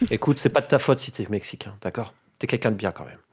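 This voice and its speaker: A man's voice: lowish voice, fast, husky. A man playing a tabletop roleplaying game, joking in a mock-fatherly way. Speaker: player.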